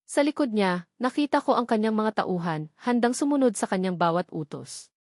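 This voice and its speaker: A woman's voice: mid-range voice, expressive, normal speed, darkish tone, no room sound, clean studio sound. A woman reading a scripted first-person romance drama as its narrator.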